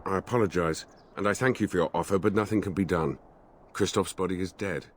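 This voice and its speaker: A man's voice: sits low, a little hiss or hum, lightly acted. An actor playing a solemn spirit in a dead man's body, speaking formally and stiffly in a video game. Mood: formal, deadpan. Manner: formal, stiff, measured speech.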